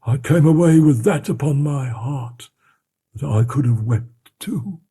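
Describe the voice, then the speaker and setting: A man voicing a frightened, loyal old male butler who talks in a hushed, fearful way. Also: medium pitch, measured pace, husky, voice pushed up.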